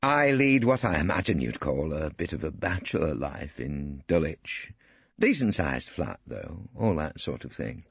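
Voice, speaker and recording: A man's voice: extremely low pitch, big pitch swings, a little slow, creaky, breathy edge, dry recording, dull audio, pitched below the performer's own voice, exaggerated voice. A man voicing an awkward, self-important male barrister, fussy and bumbling. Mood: self-satisfied. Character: awkward, pompous middle-aged male barrister.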